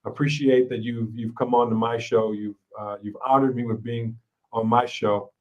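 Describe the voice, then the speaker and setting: A man's voice: medium pitch, little pitch movement, moderate pace, darkish tone, distant mic, muffled recording. Affirming male host from Ohio. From Ohio, USA. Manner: affirming, frequent agreement, gracious. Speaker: podcast host. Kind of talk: casual interview.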